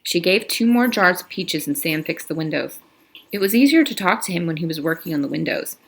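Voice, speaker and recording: A woman's voice: on the low side, expressive, fairly quick, gravelly, slightly rough, poor audio. A woman reading a novel aloud in a casual manner, with slips and restarts. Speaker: reader.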